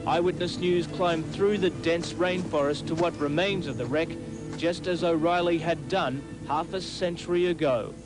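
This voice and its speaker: An Australian man delivers scripted reporter's narration for a TV news documentary. His voice is fairly high for a man, and music plays clearly behind it. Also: fairly even intonation, normal speed.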